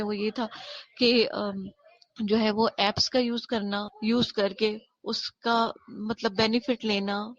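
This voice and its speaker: A woman giving a casual interview answer, hesitant as she explains at length, on dull audio. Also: fairly high pitch. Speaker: audio drama voice actor being interviewed.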